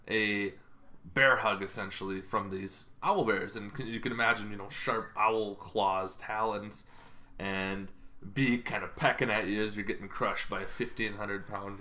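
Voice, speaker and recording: A man with a fairly low but notably bright, forceful voice presents an informal explainer. He speaks off the cuff as a host, rambling a little and feeling his way through each point. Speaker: YouTube host giving game lore advice.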